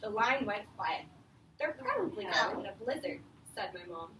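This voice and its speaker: A woman teacher reading a picture book aloud to young children and asking them questions, in an expressive, encouraging, patient manner. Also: medium pitch, moderate pace. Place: indoor room with a group of young children. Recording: roomy, distant mic, faint background noise.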